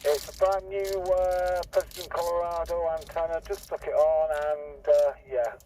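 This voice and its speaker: A man's voice: on the high side, fairly even intonation, moderate pace, dark tone. A man chatting casually over CB radio, giving call signs and signal reports. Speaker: CB radio operator. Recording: distant, noisy CB radio transmission.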